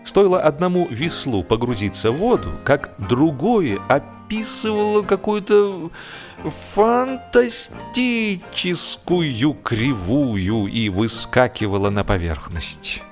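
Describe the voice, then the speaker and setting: A man narrating a humorous literary story in an expressive, theatrical way, with deliberate drawn-out pauses. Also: on the high side. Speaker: audiobook narrator. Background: music.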